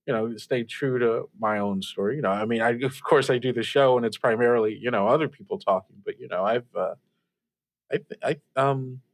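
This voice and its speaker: A man's voice: medium pitch, brisk, close to an everyday voice. Stammering, earnest radio host played by a man. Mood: flustered. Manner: stammering, hesitant, self-effacing. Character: earnest, awkward young male radio host, easily flustered. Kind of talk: improvised audio drama, casual call-in chat.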